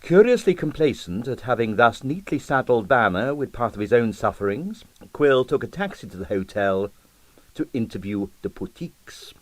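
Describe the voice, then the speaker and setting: A man's voice: mid-range voice, big pitch swings, normal speed, dry recording. A man reading a comic novel as an audiobook narrator, with wry, theatrical delivery. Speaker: audiobook reader.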